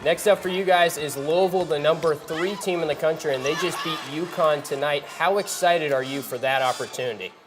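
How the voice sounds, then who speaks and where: A man's voice: fairly high pitch, brisk, hardly any pauses, background noise. A man hosting a sports broadcast and commentating on games as a play-by-play announcer.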